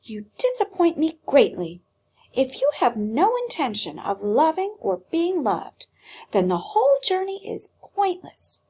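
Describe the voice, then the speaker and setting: A woman's voice: high-pitched, wildly swooping pitch, measured pace, mellow, pitched above the performer's own voice, full character voice, low-quality recording. A woman voicing a bossy old antique doll in a storybook, with an emphatic, exhorting delivery. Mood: scolding. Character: old female antique doll, stern, bossy, urging hope.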